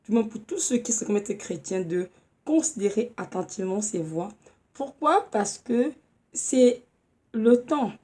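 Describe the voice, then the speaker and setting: Hoarse woman preaching earnestly at home. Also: medium pitch, dark tone. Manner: earnest, halting, with many fillers and restarts. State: hoarse with a cold. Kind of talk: informal home sermon.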